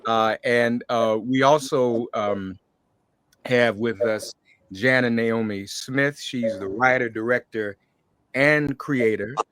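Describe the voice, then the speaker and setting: A man's voice: medium pitch, slow pace, a bit bright, no echo. A man hosting a conversational interview show, welcoming guests and addressing them directly. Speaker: podcast host interviewing guests.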